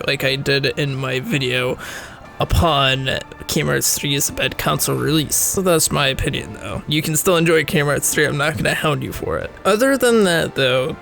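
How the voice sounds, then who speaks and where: Gravelly-voiced sick young man. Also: fairly high pitch, a bit bright. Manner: rambling, laughs often, self-deprecating asides. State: sick, voice rough. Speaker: gaming video creator. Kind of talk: casual opinion commentary.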